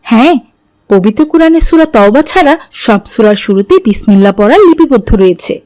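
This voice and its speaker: Cartoon mother, acted by a woman: kindly, teaching, expressive melody, close dry recording. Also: on the high side, normal speed, dry recording, natural voice. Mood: gently instructive. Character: gentle, instructive mother and aunt. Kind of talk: didactic cartoon dialogue.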